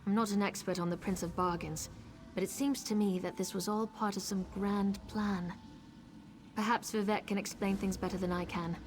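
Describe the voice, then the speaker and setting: A woman's voice: medium pitch, fairly even intonation, moderate pace, slight background noise, close to an everyday voice. Earnest elven seer woman played by an actress. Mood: earnest, explaining. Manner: earnest, serious. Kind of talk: video game dramatic acting.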